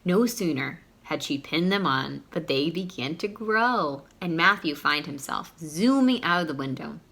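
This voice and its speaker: A woman reading a picture book aloud to young children in an animated, teacherly way. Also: low voice, a little slow. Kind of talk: expressive children's read-aloud.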